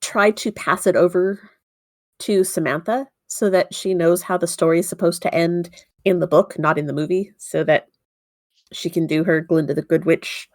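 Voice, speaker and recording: A woman's voice: fairly low pitch, fairly even intonation, normal speed, no room sound, studio quality. A woman casually describing her character's actions as a player in a tabletop game.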